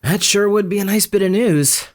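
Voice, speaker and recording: An actress playing a polite, tactful motel clerk in an audio drama, chatty and measured. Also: female voice, medium pitch, no echo, studio quality, lightly acted. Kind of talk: naturalistic audio drama acting.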